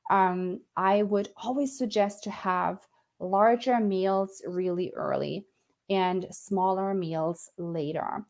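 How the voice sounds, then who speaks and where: A woman's voice: mid-range voice, slow pace, darkish tone. A middle-aged woman from Germany, a nutritionist explaining in a casual talk to camera, thoughtful and hesitant, with frequent fillers and restarts.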